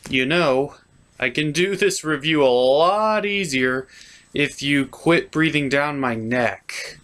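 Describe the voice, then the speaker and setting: A man voicing a flustered, exasperated puppet host of a cartoon review show, halting and apologetic in a scripted comedy skit. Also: on the high side, lively intonation, fairly bright tone.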